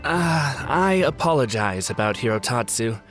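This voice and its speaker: Mild courteous warrior, conciliatory, expressive pitch, medium-pitched voice, game dialogue, music faintly behind. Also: male voice, a little slow. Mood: apologetic, helpful. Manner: polite, placating. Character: courteous, conciliatory male samurai acquaintance, mild and reasonable. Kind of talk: video game voice acting.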